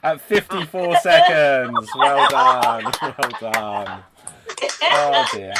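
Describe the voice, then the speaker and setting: A man's voice: medium pitch, wildly swooping pitch, extremely slow, heavy background noise. A man hosting a live-streamed improv game over a video call, bubbly and teasing, and often laughing. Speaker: improv show host.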